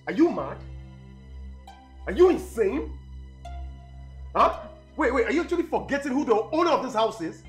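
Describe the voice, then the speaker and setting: An actor with a Nigerian accent playing a hot-tempered, domineering young house owner in a film drama, scornful and haranguing. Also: male voice, very high-pitched.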